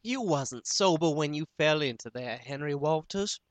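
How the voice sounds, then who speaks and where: Man putting on a high scolding wife voice, sharp, dry. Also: lively intonation, normal speed, no echo, close to an everyday voice. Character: fed-up wife scolding her husband.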